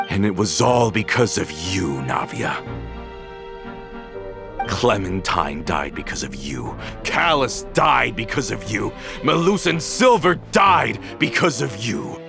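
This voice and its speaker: An actor playing a bitter, vengeful male villain who accuses and rises to shouts, in a game cutscene. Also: a little high, vocal fry, heavily acted. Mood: furious grief. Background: music.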